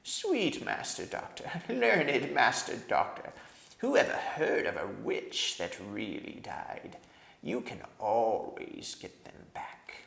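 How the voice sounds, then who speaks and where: A man voicing a fawning, sinister old female hag in a storybook, shrill and whining, with drawn-out mock sweetness. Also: huge pitch swings, gravelly, fairly bright tone, roomy sound, exaggerated voice. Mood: mocking flattery.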